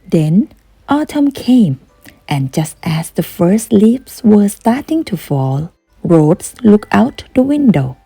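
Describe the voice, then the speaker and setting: A woman narrates a children's story as if at bedtime. Her voice is a little husky, and she takes her time with big swings in pitch. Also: dry recording, faint music behind. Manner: slow, animated read-aloud delivery. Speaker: storyteller reading a children's book.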